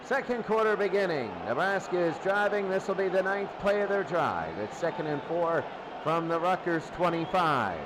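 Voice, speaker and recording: A man's voice: high voice, expressive, moderate pace. An American man calling a football game play by play as a live radio announcer. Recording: noisy game broadcast with crowd roar. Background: loud stadium crowd.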